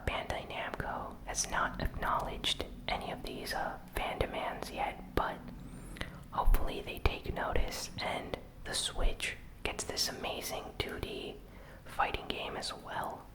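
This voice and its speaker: A gaming news host delivering an ASMR recap in a soft, unvoiced whisper, with pauses between short phrases. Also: low-quality recording. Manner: slow, deliberate, pausing between short phrases.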